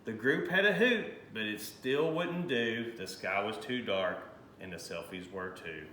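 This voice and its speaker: A man reading a rhyming children's storybook aloud in an expressive, animated way. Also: on the low side, unhurried. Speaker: high school principal reading a picture book aloud. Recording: roomy echo, faint background noise.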